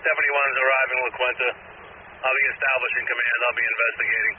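A man's voice: medium pitch, flat delivery, rapid-fire. A man serving as a fire incident commander, speaking tersely over a two-way radio. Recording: noisy narrow-band fire radio transmission.

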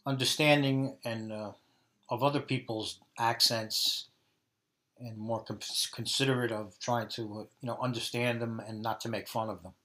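Man, 50s, Brooklyn accent, mid-range pitch, answering as an interviewee. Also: fairly even intonation, normal speed, bright, forceful tone. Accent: Brooklyn New York. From Brooklyn, New York, USA. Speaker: interviewee, dialect research subject.

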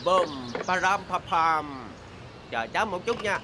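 A man's voice: high-pitched, animated, slow pace. A man playing a boastful young boy train in a dubbed children's cartoon. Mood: eager. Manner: boastful, showy. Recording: loud music under the voice.

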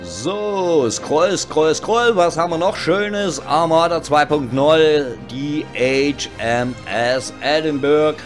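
A man's voice: fairly high pitch, lively intonation, takes it slowly, few pauses. Chatty man over background music. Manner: chatty, informal, wry. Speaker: host of a gaming news show. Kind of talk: casual, tongue-in-cheek news commentary.

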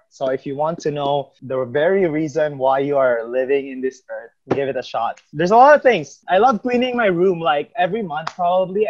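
A young man in his twenties from the Philippines talks on a home video call, chatty and quick to laugh, his voice sitting a bit higher than most men's. The webcam audio is slightly dull and lo-fi. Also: slight background noise. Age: 20s. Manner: chatty, laughs a lot, many "like" fillers. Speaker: channel co-host. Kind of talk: casual video-call chat. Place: home room, indoors.

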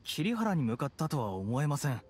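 Serious guard, animated intonation. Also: male voice, a little high, normal speed, breathy edge. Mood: matter-of-fact. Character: serious, dutiful male guard reporting. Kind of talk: Japanese anime game voice acting.

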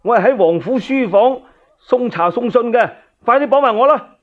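A man with a Cantonese accent voicing loyal, defiant household servants in a radio story, shouting insistently. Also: very high-pitched, expressive, moderate pace, pitched above the performer's own voice. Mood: defiant, fervent. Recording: dull lo-fi radio recording.

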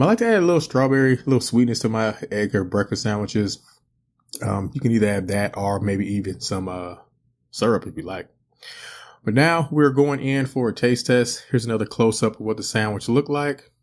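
A man in his thirties casually narrating a home cooking video, relaxed and rambling with frequent fillers. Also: medium pitch, slight background noise. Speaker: cooking channel host. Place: home kitchen.

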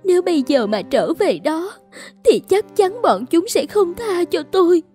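A woman voicing a lovesick, scheming young woman who plays helpless, plaintive and coquettish, in an animated folk tale. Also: extremely high pitch, a little slow, faint music behind, full character voice. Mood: tearful, pleading. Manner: plaintive, coquettish, emotionally dramatic.

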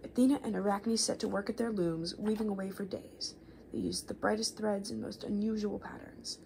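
Soft-spoken, creaky young woman doing ASMR. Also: mid-range voice, steady tone, normal speed, a little hiss or hum, rough recording. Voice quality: soft, creaky, often dropping to a whisper. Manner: rambling, informal, gently chaotic asides. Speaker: ASMR creator reading children's books aloud. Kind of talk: soft-spoken ASMR reading and casual chat. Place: small living room, indoors.

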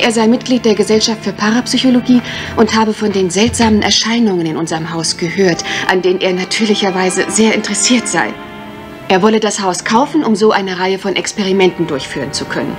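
An actress playing an anxious, genteel young guesthouse owner in a German radio crime drama. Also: female voice, mid-range voice, natural voice.

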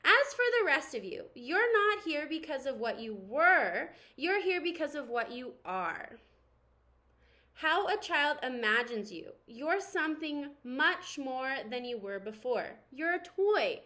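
An anxious, earnest little storybook toy , voiced by a woman reader. The voice is high and bright, with an emphatic, pleading delivery meant to rally the other toys. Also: big pitch swings, unhurried, exaggerated voice. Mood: earnest, encouraging.

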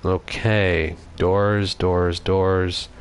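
Irish guy, deepish voice, casual live-stream commentary, faint hiss behind. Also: level tone, extremely slow. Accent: Irish, Dublin. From Ireland. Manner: rambling, swears often, reads chat aloud. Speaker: livestreaming gamer.

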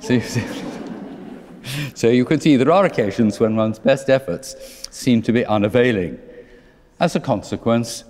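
An older man, a priest, preaching a sermon from a lectern in a large church, with gentle, wry storytelling and dry humor. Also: medium pitch, measured pace. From England. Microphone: lectern microphone. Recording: long church reverb.

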